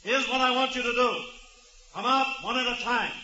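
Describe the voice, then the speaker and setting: A commanding prison warden from 1940s radio drama, projecting in a high, sharp voice. It echoes through a muffled old recording. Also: male voice, fairly even intonation, moderate pace, slight background noise, exaggerated voice. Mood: urgent commanding. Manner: declamatory, projecting to a distance. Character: commanding prison warden negotiating with armed convicts.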